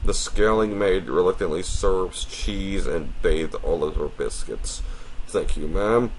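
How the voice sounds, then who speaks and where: A man with a very deep, low voice hosts a casual let's play, reading game text aloud between his own remarks. He is courteous and a little apologetic, speaking to his viewer formally. A faint hiss runs under his voice. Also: a little mellow, low-quality recording.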